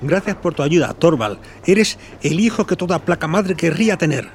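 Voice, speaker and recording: A man acting a resolute, warm-hearted adventurer in an audio drama. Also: fairly high pitch, lively intonation, moderate pace, a little hiss or hum. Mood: grateful, fond. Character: Flynn, resolute, warm-hearted adventurer fleeing a computer world. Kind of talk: Spanish audio fiction acting.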